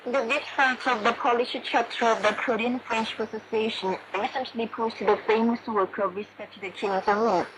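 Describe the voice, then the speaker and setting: A woman radio announcer reading a formal, solemn news bulletin in a measured way, heard over shortwave radio. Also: on the high side, steady tone. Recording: noisy shortwave radio reception with static. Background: shortwave static and noise.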